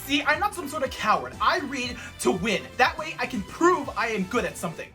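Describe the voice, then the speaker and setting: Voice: mid-range voice, very animated, brisk, sharp tone, faint music behind, voice pushed up. A performer voicing a boastful, competitive woman reader in a comedic video skit, with a self-important manner.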